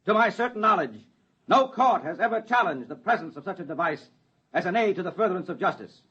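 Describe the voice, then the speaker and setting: A persuasive American defense lawyer in an old radio play. He is high-voiced and husky, with an oratorical, polite delivery. The recording is dull. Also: lively intonation, quick pace, a bit bright, exaggerated voice. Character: smooth-talking, persuasive male defense lawyer in court. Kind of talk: 1950s radio drama acting.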